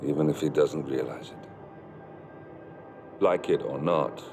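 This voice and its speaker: An actor playing a grim, terse mercenary leader with a grave manner in a cinematic video game scene. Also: male voice, unhurried. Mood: grim, resolute. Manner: terse, grave, low-key. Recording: loud music under the voice.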